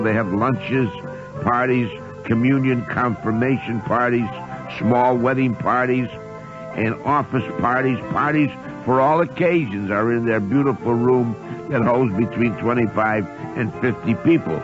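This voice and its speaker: A man's voice: mid-range voice, unhurried. A man announcing a local radio advertisement in a conversational style. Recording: slightly dull radio recording. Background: music.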